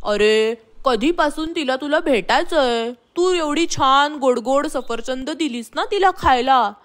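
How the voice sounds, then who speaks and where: A woman's voice: sits high, measured pace. A woman voicing a gullible, hesitant male crocodile in a children's story, earnest and simple in manner. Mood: coaxing, friendly. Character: gullible, hesitant male crocodile friend, tempted and soft-hearted.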